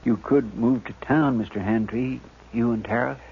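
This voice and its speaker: An actor playing an anxious, deferential deputy with a drawl, folksy and hesitant, in a western radio drama. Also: male voice, medium pitch, fairly even intonation, normal speed, close to an everyday voice. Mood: helpful. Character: earnest, anxious, simple-hearted deputy sidekick, deferential. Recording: dull, noisy old radio recording.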